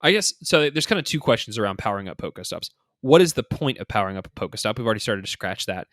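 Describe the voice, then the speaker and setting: A man hosting a casual gaming podcast, thinking out loud in an informal, slangy, speculative way. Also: lowish voice, sing-song, very fast, no echo, clean studio sound. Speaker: podcast host. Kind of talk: casual two-person podcast discussion of a mobile game.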